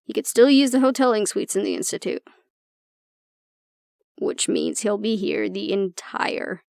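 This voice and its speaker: A woman playing an anxious young woman with a tough front and a soft core, confiding casually and with swearing in an intimate audio roleplay. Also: mid-range voice, expressive, a little slow, gravelly, no echo, studio quality. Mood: bitter, resentful.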